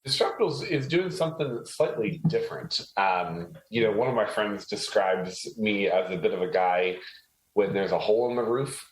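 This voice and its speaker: A man from Haiti speaking as an interview guest, explaining things conversationally with measured self-corrections. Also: fairly low pitch, expressive. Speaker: interview guest, tech entrepreneur. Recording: roomy, echoey sound.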